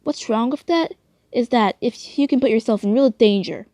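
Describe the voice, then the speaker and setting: A woman's voice: fairly high pitch, normal speed, dark tone, no room sound, studio quality, lightly acted. A woman playing a bossy but concerned male leader in a fan story, speaking in a lecturing way.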